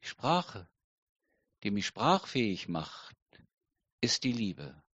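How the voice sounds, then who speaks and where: A middle-aged man preaching a sermon slowly and deliberately, with emphatic pauses. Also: fairly low pitch, sing-song, fairly bright tone, no room sound, lo-fi sound. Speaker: pastor.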